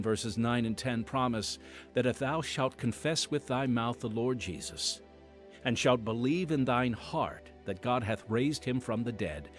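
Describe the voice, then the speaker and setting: An American man hosting a devotional podcast in scripted narration, with measured, emphatic, dramatic phrasing. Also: medium pitch. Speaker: podcast host and preacher.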